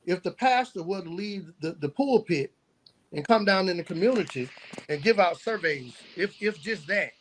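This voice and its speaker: A man's voice: high-pitched, lively intonation, moderate pace, poor audio. An American man answering as a podcast guest in an earnest, conversational way, building his points in lists. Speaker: podcast guest, youth mentor and nonprofit founder.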